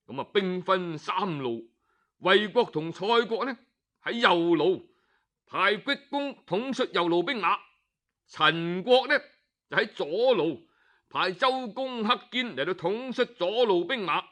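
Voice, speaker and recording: High, bright-voiced Cantonese male storyteller. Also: lively intonation. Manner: colloquial, with drawn-out particles and interjections. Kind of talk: lively traditional oral storytelling of historical tales.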